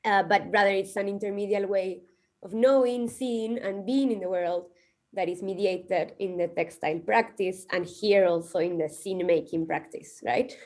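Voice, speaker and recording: A woman's voice: mid-range voice, normal speed, darkish tone. A woman from the coast, giving a thoughtful academic presentation and hedging often. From Peru, coast. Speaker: conference speaker.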